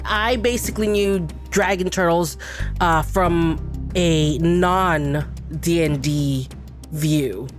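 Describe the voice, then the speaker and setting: A woman's voice: fairly low pitch, extremely slow, over music. A woman from Brunei chatting casually as a podcast guest. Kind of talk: casual discussion.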